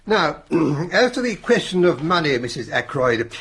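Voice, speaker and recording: A formal family solicitor in a radio mystery. His voice is very rough and husky, with lively intonation, and he speaks in a measured, courteous way. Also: a little high, exaggerated voice. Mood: formal, businesslike. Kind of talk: radio drama acting.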